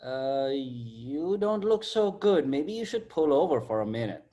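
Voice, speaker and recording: A man's voice: mid-range voice, sing-song, unhurried, background noise. A man playing a cheeky, laid-back sidekick in a comic table read, slangy and full of wisecracks. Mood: curious, prodding. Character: cheeky, laid-back male sidekick teasing his buddy.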